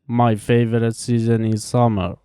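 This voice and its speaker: Careful, mellow man. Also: medium pitch, little pitch movement, smooth, no room sound. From Iran. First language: Farsi. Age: 20s. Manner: slow, careful, evenly paced delivery with pauses between answers. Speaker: language teacher. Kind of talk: reading scripted practice answers.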